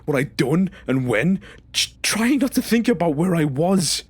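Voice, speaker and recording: A man's voice: on the high side, sing-song, a little slow, slightly rough, no room sound, full character voice. A man playing a traumatised, confrontational former stasis patient in an audio drama, speaking emphatically and demanding accountability. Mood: bitter, accusing.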